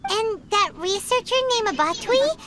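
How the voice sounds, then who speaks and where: A woman voicing a tiny, childlike fairy companion in a video game, squeaky, curious and excitable. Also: moderate pace, noisy background. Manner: speaks of herself in third person. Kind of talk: cartoonish video game voice acting. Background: background music.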